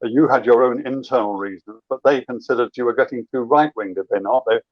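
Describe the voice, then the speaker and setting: A man's voice: mid-range voice, fast, no room sound, lo-fi sound. A man co-hosting an interview, asking probing questions with stumbling restarts. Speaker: podcast co-host.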